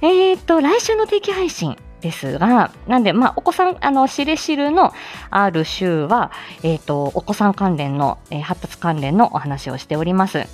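A woman talks casually as the solo host of a live audio show, with lively, swinging intonation. She is candid and self-deprecating and laughs often as she speaks. Light music plays behind her voice. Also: medium pitch, normal speed. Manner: laughs often while talking. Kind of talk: casual chatty solo livestream talk.

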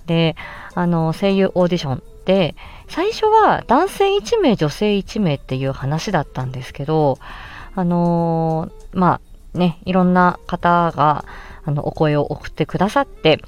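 A woman hosting a casual solo podcast, chatting in an animated way with emphatic exclamations as she looks back on a project. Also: on the low side, measured pace. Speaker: podcast host and amateur voice actress. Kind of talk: casual solo chat looking back on an audio drama.

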